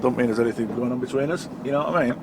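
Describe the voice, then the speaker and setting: A man's voice: medium pitch, very fast. A middle-aged man with a blocked nose, a truck driver chatting casually and rambling to camera from his cab while driving. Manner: rambling, chatty, self-correcting. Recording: loud road noise behind.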